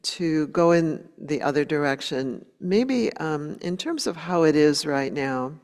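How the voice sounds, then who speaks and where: A woman meditation teacher leading a guided meditation in a gentle, unhurried way, leaving long pauses between short phrases. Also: sits low, lively intonation. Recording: long hall reverb.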